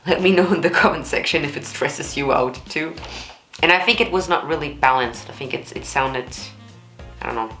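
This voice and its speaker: A woman with a low alto voice, giving blunt, candid and expressive reaction commentary as a vocal coach. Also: normal speed, roomy sound, faint music behind. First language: German.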